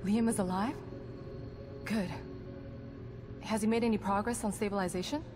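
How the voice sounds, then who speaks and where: A composed female psychologist from a video game cutscene, played by an actress. She is guarded and clinical, choosing precise, formal words at a middling pitch. Music plays clearly behind her. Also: fairly even intonation, moderate pace, lightly acted. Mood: composed, wary. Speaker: psychologist character in a horror game. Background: background music.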